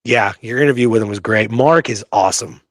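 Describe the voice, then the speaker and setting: A man's voice: medium pitch, fairly quick, no echo, studio quality. A man hosting a history show, talking casually and emphatically, with pointed rhetorical questions.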